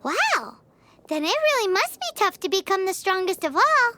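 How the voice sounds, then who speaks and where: A woman voicing a tiny, excitable, childlike fairy companion in a video game, squeaky and exclamatory. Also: normal speed, noisy background. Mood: curious, amazed. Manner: exclamatory, refers to herself in third person.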